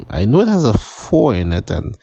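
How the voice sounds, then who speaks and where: A man's voice: on the low side, huge pitch swings, moderate pace, creaky, warm tone, breathy edge, dry recording, highs cut off. A man in comedic skit dialogue, talking in a flustered, hesitant and defensive way.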